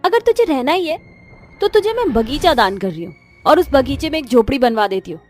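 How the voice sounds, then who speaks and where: Theatrical high-voiced actress as a scheming daughter-in-law. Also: female voice, very animated, fairly quick, darkish tone, faint music behind. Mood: harsh, commanding. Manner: theatrical, emphatic. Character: scheming, cruel daughter-in-law, later a desperate, remorseful mother. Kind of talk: animated moral-story voice acting.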